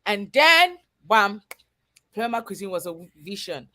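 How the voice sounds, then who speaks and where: A woman's voice: medium pitch, sing-song, unhurried, bright, forceful tone. A woman with a Cameroonian accent telling her personal story as a guest in a live interview, earnest and conversational. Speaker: guest, chef and business owner.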